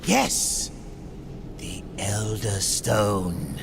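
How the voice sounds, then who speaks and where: An actor playing an eccentric, crafty, pedantic demon artificer in a video game, relishing each word. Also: male voice, on the low side, sing-song, drawn-out words, gravelly, background noise, exaggerated voice. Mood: calculating. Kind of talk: dramatic video game cutscene acting.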